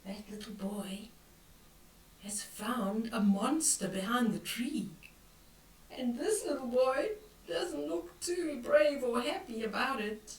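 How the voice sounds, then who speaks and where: An older woman reading a picture book aloud to children, expressive and dramatic, with hushed whispers and exclamations as she comments on the pictures. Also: fairly high pitch, slow. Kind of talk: bedtime read-aloud with asides on the pictures. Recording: roomy echo, distant from the mic.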